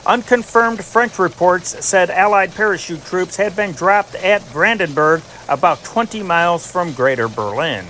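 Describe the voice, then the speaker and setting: A man's voice: sits high, expressive, unhurried, hardly any pauses, pushed and bright, slight background noise, low-quality recording. A man reading scripted news dispatches in a formal, declarative newscast manner, punching the datelines. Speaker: narrator reading old newspaper dispatches.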